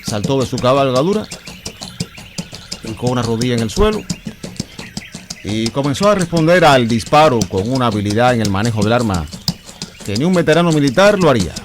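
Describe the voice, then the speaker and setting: An actor playing a gallant, lovestruck officer in a historical radio drama, speaking with deliberate dramatic pauses. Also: male voice, medium pitch, very animated, close to an everyday voice. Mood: musing. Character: gallant lovestruck young officer, brooding and intense. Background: music.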